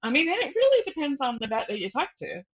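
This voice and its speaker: Lady author on a casual interview, thinks out loud, sharp bright voice, bit muffled sound. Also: sits high, sing-song, quick pace. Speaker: guest author. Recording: slightly dull, muffled audio.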